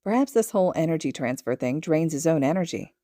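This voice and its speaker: A woman narrating a story from a script in an expressive read, as a podfic reader. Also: low voice, fast talker, no echo, studio quality.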